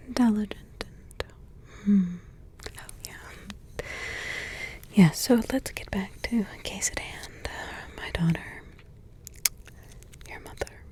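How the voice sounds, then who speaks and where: A woman voicing a protective witch grandmother in an ASMR roleplay, in a soft whisper with slow, rambling, repetitive asides. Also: medium pitch, natural voice. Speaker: ASMR creator.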